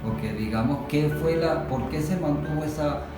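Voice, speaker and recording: Distant, very echoey Venezuelan man, halting. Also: on the high side. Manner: halting, circling back to rephrase. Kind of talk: reflective, analytical discussion. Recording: very echoey, distant mic, music behind.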